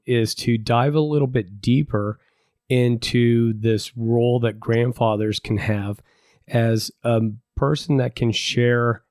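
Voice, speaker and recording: A man's voice: mid-range voice, a little mellow, no echo, clean studio sound. Stuffy-nosed older man hosting a podcast. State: stuffy nose from a head cold. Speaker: podcast host. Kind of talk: casual podcast interview.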